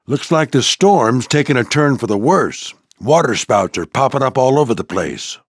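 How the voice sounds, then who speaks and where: An older American man voicing a proud, gruff old military plane who mentors a squadron in a video game, with a gravelly voice and a commanding, folksy manner. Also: medium pitch, dry recording, studio quality. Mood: brisk, focused. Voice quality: gravelly, husky. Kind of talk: scripted video game character lines.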